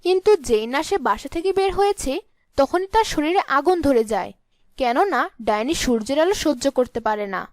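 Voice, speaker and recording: A woman's voice: sits high, animated, normal speed, a little mellow, no echo. A woman narrating a scripted fairy tale as the storyteller.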